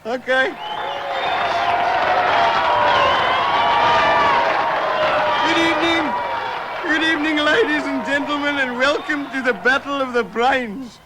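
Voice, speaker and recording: A man's voice: very high-pitched, over a noisy crowd, very noisy. A man playing a manic, bossy evil manager who hosts a quiz show in a madcap 1970s radio comedy. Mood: grandly welcoming. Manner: rapid-fire, bossy questioning. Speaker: quizmaster.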